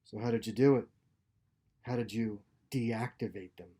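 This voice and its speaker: A man's voice: fairly low pitch, steady tone, normal speed, warm tone, husky. A man voicing a bitter, wounded starship engineer, resentful and weary, in a story read aloud. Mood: sullen.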